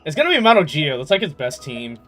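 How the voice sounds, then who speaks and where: Slightly high-pitched young man streaming games. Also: huge pitch swings, fast talker, fairly bright tone. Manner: informal, rambling, teasing. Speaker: game livestreamer. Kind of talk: casual chat while gaming, answering viewers. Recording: game music behind the voice. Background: video game music.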